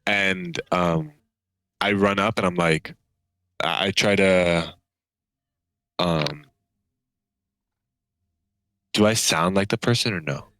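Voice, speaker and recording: A man playing a tabletop game, eagerly pitching schemes to his party. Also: slow pace, pauses often, a bit bright, no echo. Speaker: player. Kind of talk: casual tabletop play.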